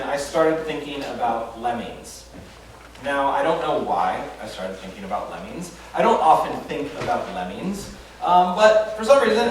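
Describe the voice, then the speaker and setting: Man, pastor, casual sermon, conversational, self-deprecating, faint background hum, distant from mic. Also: mid-range voice. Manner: conversational, chatty with fillers and self-deprecating humor. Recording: very echoey room, voice from afar.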